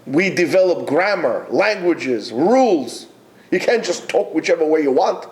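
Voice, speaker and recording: A male yeshiva teacher lectures his students in an exhorting, emphatic way, stopping often between phrases. His voice sits fairly high and swings widely in pitch. He takes his time and speaks slowly. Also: very animated, darkish tone. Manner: emphatic, exhorting, with many pauses.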